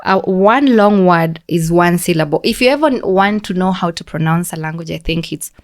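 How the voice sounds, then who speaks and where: A woman's voice: lowish voice, normal speed. A woman with a Kenyan accent, a guest on a casual podcast, explaining things step by step and spelling out examples, with a lot of "like" along the way.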